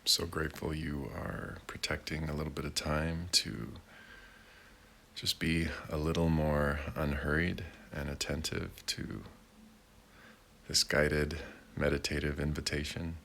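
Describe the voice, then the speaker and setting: A man leading a guided meditation in a gentle, deliberate way, with long pauses between short phrases. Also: rumbling low, little pitch movement, gravelly, a little hiss or hum. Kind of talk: guided meditation, slow and unhurried.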